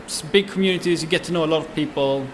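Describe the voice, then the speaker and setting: A man in his twenties with a Swedish accent, chatting in an interview as a chef, halting with many fillers and restarts. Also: on the high side, slight background noise. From Sweden. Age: 20s. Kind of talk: casual interview.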